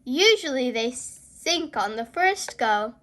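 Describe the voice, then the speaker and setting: Jolly elderly rabbit boat-mender for a picture book, voiced by a woman: high, hearty, swooping. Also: expressive, slow pace, fairly bright tone, exaggerated voice. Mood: cheerful. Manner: bellowing, boisterous, hearty. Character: jolly old rabbit grandfather, nautical boatyard mender.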